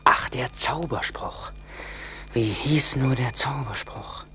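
An actor playing a poor, discontented young charcoal burner in a 1980s radio play, musing theatrically in envy and longing. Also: male voice, medium pitch, slow pace, bright, forceful tone, whispered. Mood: searching. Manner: theatrical, brooding monologue.

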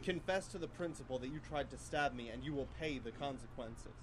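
A man's voice: medium pitch, fairly quick, very noisy, close to an everyday voice. A man acting a menacing, jealous college rival in a live student radio play, taunting and threatening. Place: small college radio studio.

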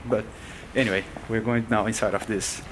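A man's voice: lowish voice, moderate pace. A young man narrating a casual travel vlog to a camera held at arm's length, in loose, offhand phrasing. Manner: loose non-native phrasing, relaxed commentary. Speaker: vlogger. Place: outdoors and in an empty concrete building.

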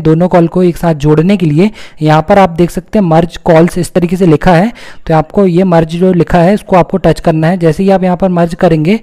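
A man's voice: high voice, warm tone. A man with an Indian accent narrating a step-by-step phone tutorial, explaining things simply and repeating his points. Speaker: tutorial host.

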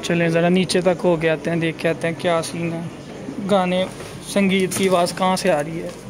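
A man's voice: high voice, level tone, moderate pace. A man giving casual, chatty commentary while walking through an outdoor market, musing aloud as he goes. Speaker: travel vlogger. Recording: busy crowd noise behind.

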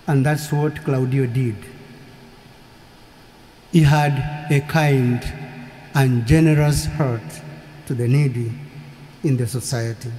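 Slow-preaching priest in an echoey cathedral. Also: male voice, mid-range voice, fairly even intonation, warm tone, slight background noise. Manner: slow, measured preaching with long pauses. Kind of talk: funeral homily. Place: large cathedral. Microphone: lectern microphone.

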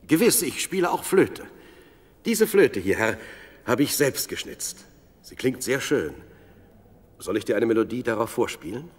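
An actor playing a modest young shepherd musician, polite and earnest, in a Bible audio drama. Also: male voice, mid-range voice, big pitch swings, a little slow, long pauses. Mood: eager. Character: young shepherd harpist, modest, eager to please.

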